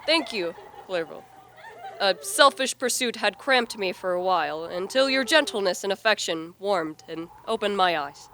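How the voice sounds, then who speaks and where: A woman's voice: medium pitch, expressive, moderate pace, bright, forceful tone, slight background noise. A woman playing an anguished, guilt-ridden young man in a radio play, earnest and formally dramatic. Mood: sombre.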